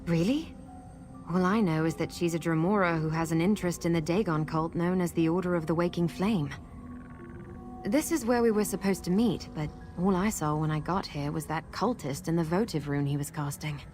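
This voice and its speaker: An actress playing a guarded, urgent masked woman who protects people from cultists in a fantasy video game, speaking in an earnest, measured way. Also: on the low side, a little mellow, faint music behind, lightly acted. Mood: explaining. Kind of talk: video game character dialogue.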